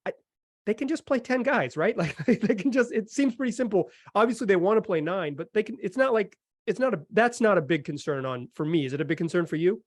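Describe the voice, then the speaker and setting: Higher-pitched American man hosting a sports podcast. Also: expressive, brisk, no room sound. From North Carolina, United States. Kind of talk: conversational basketball podcast talk.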